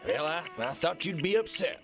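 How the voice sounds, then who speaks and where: A man's voice: fairly high pitch, big pitch swings, normal speed, music in the background. A man playing a failing, self-important kingpin in an absurdist audio drama, guilt-ridden and rambling, stammering and repeating his words. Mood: earnest, remorseful.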